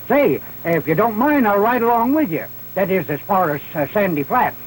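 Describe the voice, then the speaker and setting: Old Western trail hand, high lively rural drawl, rambling, on noisy vintage film sound. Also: male voice, moderate pace. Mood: folksy, cautioning. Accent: rural American West. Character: folksy, talkative old frontier trail hand. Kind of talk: 1930s B-western film acting.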